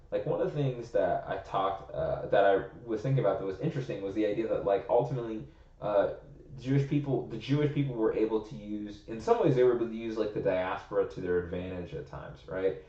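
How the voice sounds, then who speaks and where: Young man, rambling casual talk, lowish voice, echoey room, muffled audio. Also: darkish tone. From United States. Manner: rambling, thinking aloud, heavy on "like". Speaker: podcast co-host. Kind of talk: casual chat. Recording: roomy, slightly muffled recording.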